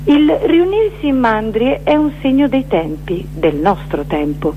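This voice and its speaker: A woman giving a dramatic recitation of a poetic manifesto, with emphatic, deliberate phrasing. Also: on the high side, expressive, phone-quality sound, a little hiss or hum. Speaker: performer reciting poetry.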